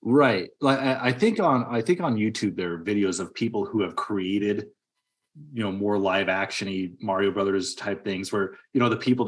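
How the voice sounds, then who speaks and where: A man co-hosting a podcast, discussing movies casually in an emphatic, argumentative way. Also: fairly low pitch. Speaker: podcast co-host.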